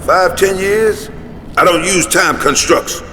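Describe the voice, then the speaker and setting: A man's voice: high voice, takes it slowly. A man playing a paranoid, jaded homeless conspiracy theorist in an audio drama, speaking cryptically and with emphatic intensity. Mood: bitter, recounting. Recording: reverberant space, faint traffic noise.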